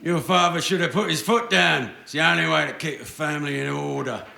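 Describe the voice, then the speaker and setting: Creaky, cantankerous old grandpa. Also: male voice, fairly high pitch, a little fast, pushed and bright, heavily acted. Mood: suspicious, blunt. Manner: blustering, querulous. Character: cantankerous hard-of-hearing old grandfather, bossy but hearty. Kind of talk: live radio play acting. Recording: roomy live stage recording.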